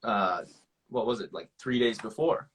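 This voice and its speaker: A young adult man hosting a casual live chat, relaxed and affirming as he talks. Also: fairly low pitch, a little slow, a little hiss or hum. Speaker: host.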